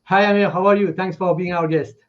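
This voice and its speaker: A man hosting a live online interview, speaking haltingly and effusively, often repeating words. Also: high-pitched, steady tone. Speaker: interview host. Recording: dull, lo-fi call audio.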